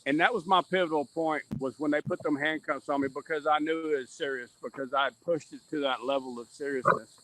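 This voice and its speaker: A man's voice: on the high side, steady tone, a little fast, few pauses, fairly bright tone, a little hiss or hum, rough recording. A middle-aged man telling his own story as a podcast guest, earnest and candid, circling back and repeating his points. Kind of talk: candid personal testimony in conversation.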